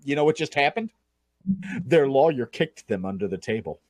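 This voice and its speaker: Animated, foul-mouthed male panelist. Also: mid-range voice, normal speed, no room sound. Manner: animated, swearing, laughs a lot. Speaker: comedy panelist. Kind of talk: live comedy panel.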